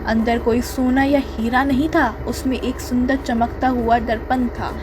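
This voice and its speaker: High-voiced woman narrating a children's story. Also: flat delivery, unhurried, background noise.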